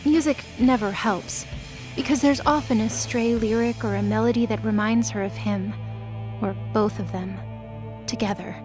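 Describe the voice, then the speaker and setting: High-pitched woman narrating mythology drama. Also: moderate pace, over music.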